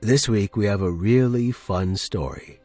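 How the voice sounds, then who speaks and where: A man with a fairly low, husky voice works as a fiction podcast narrator and host. His delivery is that of expressive audiobook storytelling. The sound is very dry, with no room around it. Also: unhurried, no echo, soft background music.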